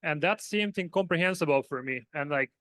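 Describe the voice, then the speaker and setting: A smooth-voiced man with a Bulgarian accent speaks as a conference panelist. His voice sits a little high, and he answers in an earnest, modest, conversational way. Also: a little fast, a bit bright, no echo. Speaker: panelist, software engineer.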